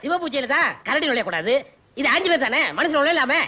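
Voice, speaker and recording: A cheeky man compounder talks in a high, bright voice. He teases and wisecracks at his boss all the time. It is a comic part in a film. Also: expressive, brisk, a little hiss or hum, full character voice. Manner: teasing, cheeky.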